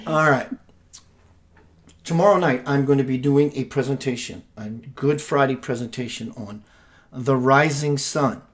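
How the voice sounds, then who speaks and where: A man hosting a spiritual radio show, talking in a soft, meditative and rambling casual way. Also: medium pitch.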